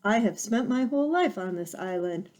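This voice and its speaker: A woman voicing a calm, polite female robot that speaks in an even, measured way in a storybook. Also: medium pitch, natural voice.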